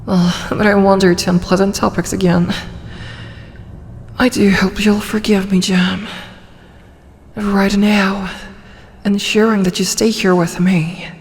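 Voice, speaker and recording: A woman's voice: mid-range voice, level tone, in a large hall, natural voice. A woman voicing a possessive, doting tsundere female dragon in an ASMR roleplay, with a tender, coaxing manner. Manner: slow, coaxing, tender delivery with breathy asides.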